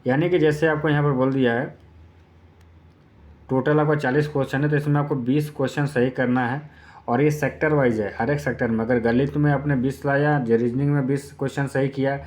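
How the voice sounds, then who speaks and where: A man's voice: mid-range voice, fairly even intonation, a little fast, a little mellow. A man gives an informal exam-prep explainer, going step by step with repeated points and filler phrases. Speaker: exam-prep YouTube presenter.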